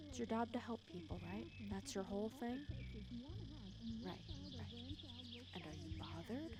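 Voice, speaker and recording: A woman's voice: fairly high pitch, natural voice. A woman playing a wry, bossy but caring female villain who fusses over her hero nemesis in an intimate ASMR roleplay. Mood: earnest, gentle reproach. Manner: intimate, wry, fussing and bossy with soft teasing. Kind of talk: ASMR roleplay acting, one side of a conversation. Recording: clear cricket and insect ambience behind. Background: crickets and outdoor night insects.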